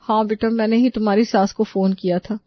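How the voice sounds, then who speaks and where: A woman's voice: medium pitch, level tone, fairly quick, no room sound, lo-fi sound, close to an everyday voice. A woman voicing a gossipy, dramatic older mother-in-law type in an acted audio story. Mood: friendly, curious. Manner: complaining, dramatic. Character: exasperated, gossipy older Indian mother-in-law and her aunty friend.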